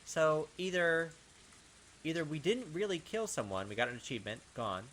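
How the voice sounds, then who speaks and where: A man's voice: a little high, expressive, normal speed, fairly bright tone. A man giving casual gaming commentary and reading on-screen text aloud, talking through his theories in a puzzled way. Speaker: gaming video host. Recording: clear background game noise.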